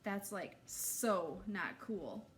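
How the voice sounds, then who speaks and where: A woman voicing an excitable, easily alarmed young camper girl in a storybook, exclamatory and slangy. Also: mid-range voice, very slow, a little hiss or hum. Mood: uneasy.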